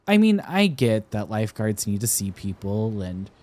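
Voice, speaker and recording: A man's voice: lowish voice, big pitch swings, moderate pace. A young man playing a tender, flustered college-age boyfriend in an intimate romantic roleplay, with hesitant, stammering delivery. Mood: affectionate, playful. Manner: hesitant, stammering, soft-hearted.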